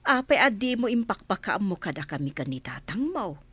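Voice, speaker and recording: A woman's voice: mid-range voice, sing-song, fairly quick, a bit bright, breathy edge, no echo. A woman playing a worried, reproachful middle-aged mother in a radio drama.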